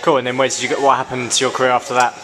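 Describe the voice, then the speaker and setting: A man's voice: medium pitch, flat delivery, racing, music in the background. A man interviewing a guest, asking short prompting questions. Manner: brief, prompting.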